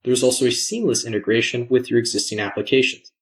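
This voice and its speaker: Guy doing scripted voiceover for a software demo, mid pitch, measured and explainy, says each word carefully. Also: steady tone. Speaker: product demo presenter. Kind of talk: scripted screencast narration.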